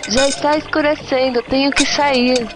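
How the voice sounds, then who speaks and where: A man voicing an impatient, harsh woman of the household, sharp and bossy with a servant boy. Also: very high-pitched, steady tone, moderate pace, pitched above the performer's own voice.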